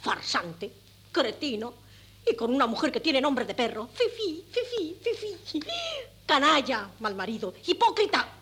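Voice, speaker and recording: A theatrical middle-aged wife and mother from a seventies radio comedy, with a high, husky voice. She is meddling and easily outraged, exclaiming with melodramatic flourish on an old cassette recording. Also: very animated, measured pace, a bit bright, exaggerated voice. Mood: indignant, wounded. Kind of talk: 1970s Spanish radio comedy acting.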